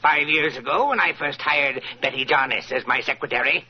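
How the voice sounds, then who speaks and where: A man's voice: mid-range voice, normal speed, husky, lightly acted. An actor playing a wealthy, paranoid, self-satisfied old businessman in 1940s radio style, grandly wordy and theatrical. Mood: triumphant, boastful. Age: older. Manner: theatrical, grandly wordy, repeats himself for emphasis. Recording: muffled vintage radio recording.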